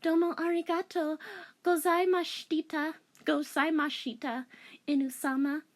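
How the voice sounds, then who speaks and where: A woman's voice: sits high, level tone, unhurried, voice pushed up. A woman voicing an earnest, formal young half-demon anime heroine in a theatrical, sugary polite way. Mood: intense.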